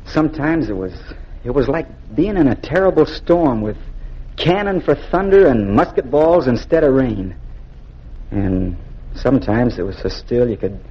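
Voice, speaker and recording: An actor playing an earnest, humble young Union soldier with an American accent and folksy, hesitant speech in a 1940s-style radio drama. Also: male voice, medium pitch, animated, normal speed, slightly rough. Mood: haunted, confiding. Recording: muffled old 1940s radio recording.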